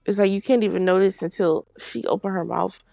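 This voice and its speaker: A woman's voice: mid-range voice, fairly even intonation, fairly quick, creaky, dark tone, dry recording, soft background music. A woman co-hosting a casual podcast chat, speaking bluntly and emphatically. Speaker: podcast co-host.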